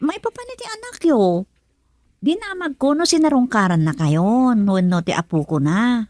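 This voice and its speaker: A woman's voice: fairly high pitch, sing-song, normal speed, a little mellow, no echo. A Filipino woman acting in a radio drama, playing an outspoken woman protective of her grandchild. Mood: indignant, confiding.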